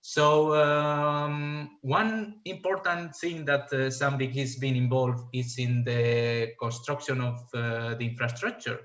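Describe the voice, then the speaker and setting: A man's voice: medium pitch, steady tone, takes it slowly, few pauses, a bit bright, reverberant, dull audio. A man from Spain with a Spanish accent, presenting as a fuel cell scientist on a panel, hesitant with many filler sounds.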